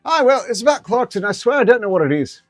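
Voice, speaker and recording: A man's voice: very high-pitched, big pitch swings, quick pace, no room sound, clean studio sound, faint music behind, pitched above the performer's own voice. A man voicing a foul-mouthed, fiercely loyal female talking ferret. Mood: gruff, defensive. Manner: brash, loud, slangy, swears.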